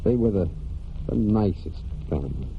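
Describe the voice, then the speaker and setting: Low-voiced, halting farm man, old radio. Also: animated, a little hiss or hum. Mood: wistful. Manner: plain rustic speech, halting and reticent. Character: brooding young New England farm man, wounded war veteran. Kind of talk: 1940s American radio drama acting. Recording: muffled 1940s radio broadcast with music.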